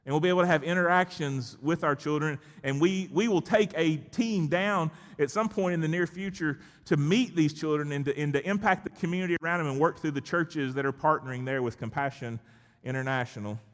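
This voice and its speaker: The recording rings with the long reverb of a large church. A man with a fairly high-pitched voice speaks as a pastor, conversational and emphatic as he addresses the congregation. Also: a little fast. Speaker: pastor leading a worship service. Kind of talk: church address with personal anecdote, introducing a guest.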